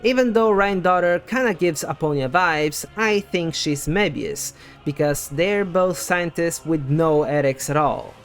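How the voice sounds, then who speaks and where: A man's voice: on the high side, a little slow. A man presenting scripted game theory commentary in a conversational, explanatory way, as the host of a video essay. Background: background music.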